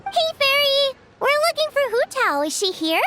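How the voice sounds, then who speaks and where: A woman voicing a tiny, chirpy fairy companion girl in a video game, with a squeaky childlike voice and bubbly manner. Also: sing-song, normal speed, background noise. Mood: cheerful.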